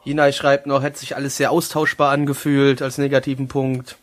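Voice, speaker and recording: A man hosting a casual anime review chat, talking in a halting, joking, self-mocking way. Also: medium pitch, flat delivery. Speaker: host of an anime review show.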